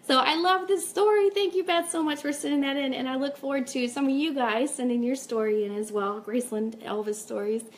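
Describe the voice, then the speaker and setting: A woman's voice: sits high, steady tone, a little fast, hardly any pauses, a little hiss or hum. A woman hosting a casual live stream, chatting informally and upbeat as she reads out comments and announcements. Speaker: host of a fan radio live stream.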